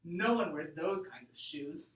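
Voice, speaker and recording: A woman's voice: on the low side, expressive, measured pace. A woman voicing a mean, taunting boy bully in a read-aloud story. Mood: taunting, demanding. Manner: brusque, taunting. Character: mean, demanding older-bully boy, snapping and growling. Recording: echoey classroom, far from the mic.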